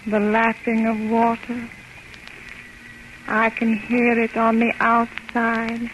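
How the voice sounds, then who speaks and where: An actress playing a frail, eerie young woman answering haltingly from a trance in an old radio drama. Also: a little high, completely flat delivery, fairly bright tone. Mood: entranced, hushed. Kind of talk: 1930s radio drama acting. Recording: noisy old radio broadcast, music underneath.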